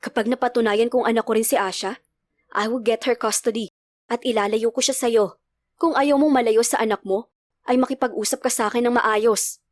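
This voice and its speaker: A woman's voice: fairly high pitch, fairly even intonation, moderate pace, no echo, clean studio sound, close to an everyday voice. A woman voicing a stern, easily annoyed young father, curt and threatening, in a storybook narration. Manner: curt, demanding.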